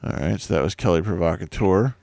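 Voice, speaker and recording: Low gravelly-voiced male host. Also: brisk, mellow, no room sound. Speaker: podcast host. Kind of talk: casual chat.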